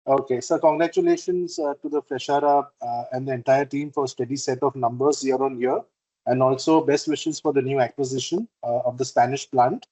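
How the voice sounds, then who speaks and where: A man asking formal, halting questions as an investor on an earnings call. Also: mid-range voice, fairly even intonation, mellow, rough recording. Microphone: conference call connection.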